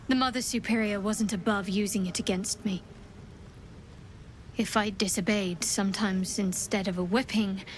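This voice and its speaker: An actress playing a reserved young cleric who speaks in a dry, wry way. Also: female voice, medium pitch, a little hiss or hum, lightly acted. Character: guarded young female cleric companion.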